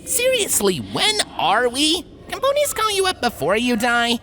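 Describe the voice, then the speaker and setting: A man's voice: very high-pitched, wildly swooping pitch, measured pace, pitched above the performer's own voice, heavily acted. A man voicing an irreverent, joking pegasus mare ghost with a scratchy voice. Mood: teasing.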